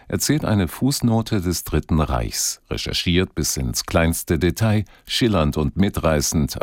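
A male announcer reads a scripted radio introduction. His voice is extremely deep. The sound is very dry and close. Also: darkish tone, no room sound.

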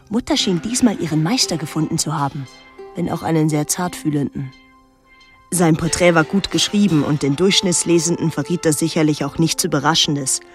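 An actress playing a reflective daughter who narrates a literary radio drama in a measured, introspective way. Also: female voice, fairly low pitch, lightly acted. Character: reflective daughter recalling her reclusive painter mother.

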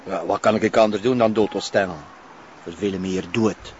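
A man's voice: on the low side, moderate pace, natural voice. Actor as a bored, grumbling Flemish policeman. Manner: deadpan, complaining. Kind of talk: naturalistic TV crime drama acting. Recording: muffled sound with faint noise.

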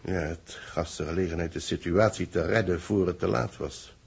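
Husky low-voiced Dutch radio-drama official. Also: male voice, steady tone, darkish tone, heavily acted. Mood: measured, explanatory. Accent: Netherlands Dutch. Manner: deliberate, explanatory. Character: measured male space-base official briefing his secretary.

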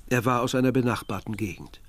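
Actor as reflective male narrator, mid-pitched. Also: fast talker, no echo, natural voice. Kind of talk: scripted literary narration in a radio drama.

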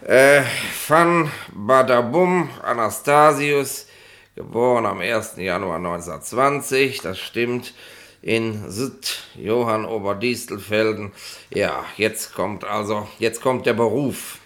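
A petty police inspector in a 1960s radio comedy. He has a creaky, gravelly voice. He talks colloquially and hesitantly, chummy but stubborn. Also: fairly low pitch, slow, fairly bright tone. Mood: officious, firm. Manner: colloquial, hesitant, tagging questions with ne and nicht.

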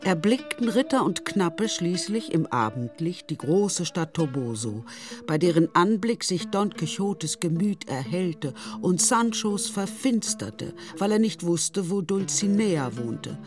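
A woman narrates a radio play in scripted storybook style. Her voice sits a little low. Music plays clearly behind her. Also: sing-song, unhurried.

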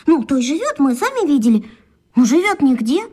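An inquisitive, soft-hearted little schoolgirl chatters away in a children's radio play. Her voice sits very high and bright with a childlike rush of excitement. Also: brisk. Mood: pitying. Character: lively inquisitive schoolgirl Katya, tenderhearted.

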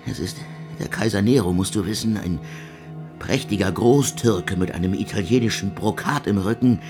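Man acting a creaky, sardonic suffering composer. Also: fairly low pitch, close to an everyday voice. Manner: mocking, savouring archaic phrasing, theatrical. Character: suffering male composer, ironic, sardonic, morbidly theatrical. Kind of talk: literary radio play monologue. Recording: music behind the voice. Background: instrumental music.

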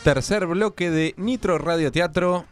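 A man's voice: high voice, unhurried, soft background music. A man presenting a live radio show, reading credits and sponsor plugs with informal asides. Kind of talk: live radio announcing with ad-libs. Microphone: close studio microphone.

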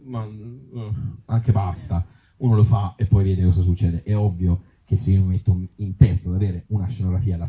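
Male Tuscan actor-author in live conversation: soft dark tone, halting and informal, muffled recording. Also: sits low. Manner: hesitant, circling phrasing with blunt joking asides. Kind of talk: informal live talk with the audience. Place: outdoor evening event in an olive grove.

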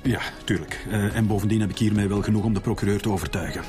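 A man with a Flemish accent playing a decisive, hurried police superior in a radio drama. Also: on the low side, close to an everyday voice. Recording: music behind the voice.

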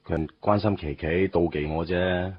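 A man's voice: low-pitched, fairly even intonation, normal speed, a little hiss or hum, natural voice. A man playing a young man torn over his first love, narrating his thoughts wryly in a radio drama. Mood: defensive. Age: young adult. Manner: wry inner monologue. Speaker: lead character and narrator. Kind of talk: Cantonese radio drama acting. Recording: dull lo-fi radio recording.

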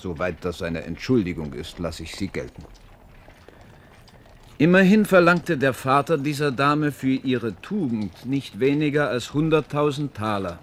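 An actor playing a shrewd, measured adviser to a king, formal and deliberate, in a German radio play. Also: medium pitch, expressive, lightly acted. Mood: dry storytelling. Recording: 1970s radio drama, faint background noise.

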